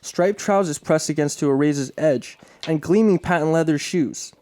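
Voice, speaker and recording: A man's voice: fairly high pitch, normal speed, dark tone. A man reading dramatic scripted narration for a radio play, now and then stumbling over a word. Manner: stumbles and repeats words while reading.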